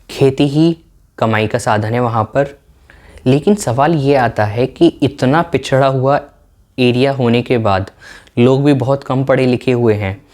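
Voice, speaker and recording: A man's voice: mid-range voice, a little mellow. A man narrating in an explanatory, documentary style.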